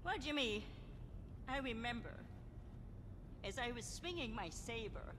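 A woman's voice: a little high, animated, measured pace, noisy background. An actress playing an older female general, a self-righteous villain who speaks in a grandiose, menacing, preachy way. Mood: smug.

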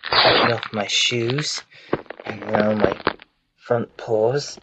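A man performing an amateur audio drama with a slow, plain, unpolished delivery. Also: lowish voice, fairly even intonation. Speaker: amateur voice actor. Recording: dull lo-fi home recording with clear hiss.

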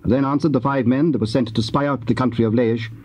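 A man narrating scripture as a measured, formal scripted reading. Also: medium pitch, steady tone, a little mellow, a little hiss or hum. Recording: dull old cassette audio.

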